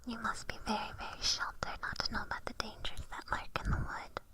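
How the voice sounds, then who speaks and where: A woman voicing a sly, haughty evil witch in an ASMR roleplay, in an unhurried, condescending, breathy whisper with soft menace. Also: on the high side, little pitch movement, close to an everyday voice. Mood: sly, coaxing.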